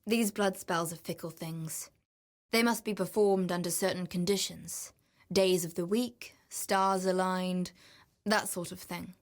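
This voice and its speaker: Video game lady explorer, keen n wry, slow measured talk, kinda confiding and dryly playful, mid pitch. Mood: confiding, scheming. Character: keen, wry woman explorer and treasure-hunting ritualist. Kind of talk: scripted video game voice acting.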